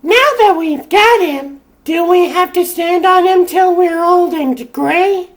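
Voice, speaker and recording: A woman's voice: very high-pitched, slow pace, heavily acted. A woman playing a spirited animal superheroine in a comic dub. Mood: wry.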